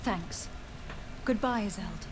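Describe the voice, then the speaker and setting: A young noblewoman diplomat, acted by a woman in a fantasy video game. She speaks at a middle pitch, measured and articulate, with earnest resolve. Clear game ambience noise sits behind the voice. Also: natural voice. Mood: earnest, persuading. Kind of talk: scripted video game dialogue acting.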